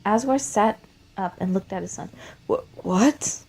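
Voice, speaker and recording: A woman's voice: medium pitch, normal speed, dark tone, rough recording. A woman reading and chatting as an amateur fan fiction writer and narrator. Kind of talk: scripted story narration and casual chat.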